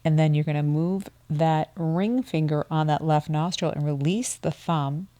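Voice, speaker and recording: A middle-aged woman co-hosting a wellness podcast, calmly guiding a breathing exercise and often dropping toward a whisper as she counts. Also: sits low, measured pace, no echo. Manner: soft-spoken, drops toward a whisper while counting. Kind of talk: calm guided breathing instruction.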